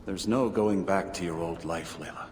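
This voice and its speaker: An actor playing a calm, dry, persuasive leader of secret assassins in a video game scene. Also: male voice, deep voice, moderate pace, natural voice. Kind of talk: video game cutscene acting. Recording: reverberant, faint ambient noise.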